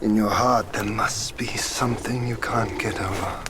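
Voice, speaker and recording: An actor playing a weary warrior who speaks in a brooding, often half-whispered way, in a game cutscene. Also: male voice, on the low side, steady tone, a little slow, background noise, lightly acted. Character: weary warrior who hunts the monkey king. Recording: loud ambience behind.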